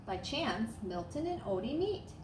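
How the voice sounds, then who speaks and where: A woman reading a children's storybook aloud as a storyteller, with expressive, dramatic emphasis. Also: a little high, unhurried. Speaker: staff storyteller. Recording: roomy echo, clear background noise.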